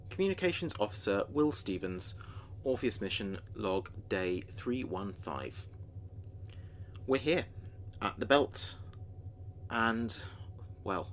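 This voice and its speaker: A man playing an earnest space communications officer, recording logs in a measured, thoughtful way for a sci-fi audio drama. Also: on the low side, a little hiss or hum. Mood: awed, thoughtful. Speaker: communications officer keeping a mission log. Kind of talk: audio drama log narration.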